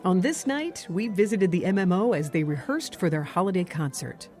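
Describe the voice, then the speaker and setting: Lively woman narrating a news feature. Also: fairly low pitch, normal speed. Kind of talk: scripted narration. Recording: music clearly behind the voice.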